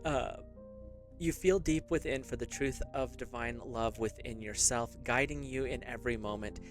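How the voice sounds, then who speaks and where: A man's voice: mid-range voice, normal speed, soft background music. A man giving a casual, rambling tarot reading to his viewers, speaking loosely with fillers and small laughs. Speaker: tarot reader.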